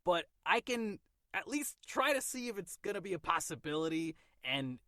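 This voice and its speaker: A man's voice: sits high, sing-song, normal speed, bright, forceful tone, no echo, studio quality. A man co-hosting a movie review podcast in casual chat, enthusiastic and playful, sometimes reading a plot summary aloud. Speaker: podcast co-host.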